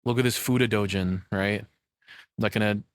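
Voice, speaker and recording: Male reaction host, lowish voice, informal off-the-cuff rambling, very dry sound. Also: steady tone, moderate pace, no room sound. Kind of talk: casual unscripted commentary.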